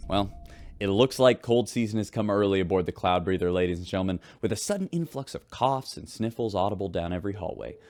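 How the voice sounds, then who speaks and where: An upbeat radio host on a spaceship in a sci-fi audio drama. His delivery is polished and folksy, with a very animated, swooping pitch that suits scripted news and interviews. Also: fast. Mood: upbeat, newsy. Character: chirpy male radio host aboard a spaceship.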